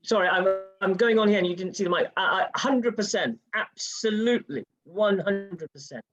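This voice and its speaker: A British-born panelist telling a personal story in a panel discussion and acting out the quoted exchanges. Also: male voice, high voice, normal speed, a bit bright, no echo, soft background music. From United Kingdom. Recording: dull, dry sound.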